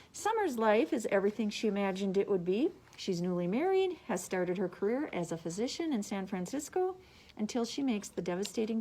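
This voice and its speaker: A woman with a mid-range voice presents books as a librarian. She reads from prepared summaries in a measured, deliberate manner. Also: mid-range voice, a little mellow, rough recording. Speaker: librarian presenting book picks. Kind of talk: scripted book summaries read aloud.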